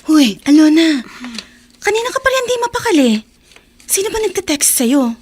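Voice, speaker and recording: A woman's voice: extremely high pitch, sing-song, moderate pace, a little mellow, faint music behind. An actress playing a blunt, sarcastic teenage schoolgirl who scolds her friend in slangy Filipino-accented speech for a radio drama. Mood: nosy, nagging.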